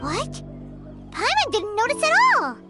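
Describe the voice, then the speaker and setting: A woman voicing a small, childlike, excitable fairy companion in a video game, squeaky and expressive. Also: unhurried, exaggerated voice. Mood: surprised, wary. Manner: refers to self in third person, expressive. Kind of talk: video game character acting. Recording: loud music under the voice. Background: game music.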